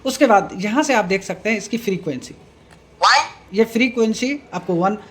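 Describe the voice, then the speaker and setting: A man presenting a hands-on tech tutorial, explaining step by step in a halting, repetitive way. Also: sits high. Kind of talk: hands-on product demonstration. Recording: clearly noisy room recording with ambience.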